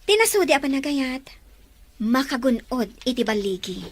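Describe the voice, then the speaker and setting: A woman playing a lively, teasing younger sister in a drama, with an animated manner. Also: sits high, normal speed, a little hiss or hum. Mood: playful, earnest. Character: lively, teasing young woman talking up her older brother. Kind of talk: Ilocano radio-style drama acting.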